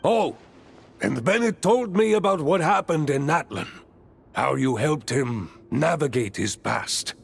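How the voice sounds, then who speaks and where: A man voicing a boisterous, expressive adventurer dad in a video game, with a raspy voice. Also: medium pitch, heavily acted. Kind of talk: animated video game voice acting.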